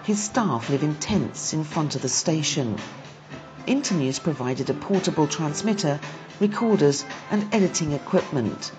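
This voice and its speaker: Female documentary narrator, low register, scripted delivery over a clear music bed. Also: lively intonation, normal speed. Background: background music.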